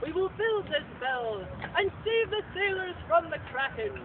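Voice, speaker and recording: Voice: extremely high pitch, sing-song, measured pace, heavily acted. An actor voicing a kindly, earnest clergyman puppet in a theatrical, exclamatory way on a live stage. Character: kindly, earnest good brother, a Viking-era clergyman puppet. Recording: tinny, noisy outdoor stage recording.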